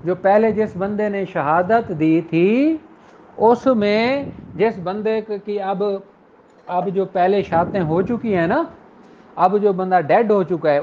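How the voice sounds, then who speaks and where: High-pitched Pakistani man lecturing, unhurried. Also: slight background noise. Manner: explanatory, code-switching with English legal terms. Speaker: law lecturer. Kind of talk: informal lecture, reading statutes aloud and explaining them. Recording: dull lo-fi recording.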